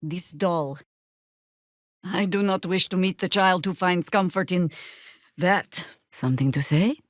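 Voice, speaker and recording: An actress playing a world-weary, stern veteran druid woman in a video game, with dry, sardonic, measured delivery. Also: lowish voice, no room sound, natural voice. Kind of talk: scripted game character dialogue and spell calls.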